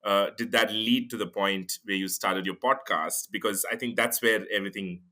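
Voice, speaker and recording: Low-voiced male podcast host. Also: steady tone, moderate pace, pushed and bright. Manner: reads a scripted intro, then asks rambling questions with fillers. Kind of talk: interview hosting.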